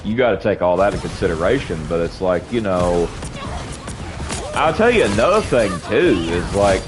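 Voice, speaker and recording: A young man rants casually to his stream chat while he plays, sniffling from allergies. He rambles and repeats himself, throwing in bro again and again, and his pitch swings widely. Faint game music sits under his voice. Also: big pitch swings, moderate pace. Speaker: streamer talking while gaming.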